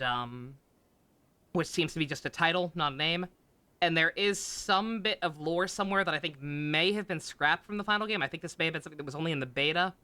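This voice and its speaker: A man's voice: a little high, sharp tone, clean tone. A man streaming a video game with casual, rambling commentary, often hesitating and trailing off mid-thought. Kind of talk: casual livestream commentary over gameplay. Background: game music.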